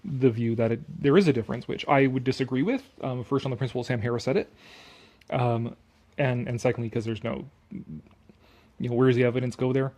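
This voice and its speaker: A man's voice: mid-range voice, fairly quick, a little mellow. A man reading an article aloud with casual, sarcastic commentary, blunt and dismissive, prone to swearing. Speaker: online commentator.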